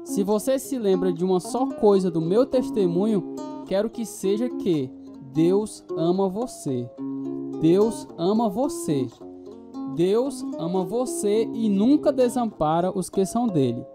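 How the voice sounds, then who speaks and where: A man's voice: high voice, expressive, a little slow. Devout brazilian guy in a radio drama, earnest and preachy, guitar music behind. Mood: earnest, grateful. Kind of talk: radio drama testimony narration and dialogue.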